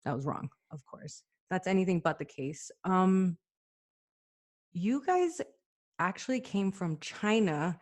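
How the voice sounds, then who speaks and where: A woman's voice: fairly low pitch, animated, slow. A woman hosting a podcast, introducing her guest and asking questions in an upbeat, enthusiastic way. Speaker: podcast host. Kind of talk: casual interview.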